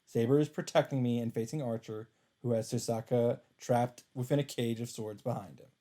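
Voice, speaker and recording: A man's voice: mid-range voice, steady tone, fairly quick, warm tone. A man reading a visual novel aloud as a let's player, in dramatic narration. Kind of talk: dramatic read-aloud narration with casual asides. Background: game music.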